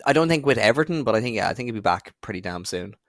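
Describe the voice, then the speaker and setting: A man's voice: mid-range voice, lively intonation, racing, dry recording, studio quality. A man co-hosting a football podcast, giving his opinions in casual, conversational talk.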